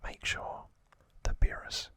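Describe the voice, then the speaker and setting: A man whispering an ASMR recap as host, in short, deliberate phrases with pauses between them. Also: sharp tone.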